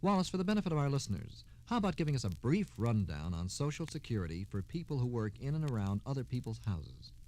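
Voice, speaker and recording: A man's voice: medium pitch, sing-song, fast, hardly any pauses, darkish tone, slight background noise. An American man presenting a scripted old-time radio show as host, with a formal announcer's delivery.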